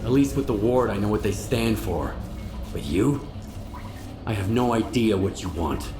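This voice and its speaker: A man's voice: lowish voice, measured pace, natural voice. A man voicing a blunt, hardened stalker. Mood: wary. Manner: blunt, questioning, plain-spoken. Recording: roomy game cutscene with music.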